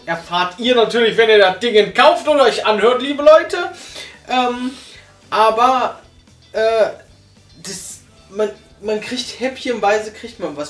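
A young man reviewing a radio drama in casual chat, hesitant, with many fillers and restarts, sitting on a sofa. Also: sits high. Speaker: podcast reviewer. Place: living room, sitting on a sofa. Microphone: far camera. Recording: roomy, far from the mic, faint music.

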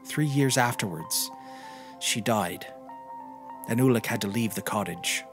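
A man's voice: on the low side, unhurried, over music. A man reading a literary short story aloud as a scripted narration. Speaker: podcast reader.

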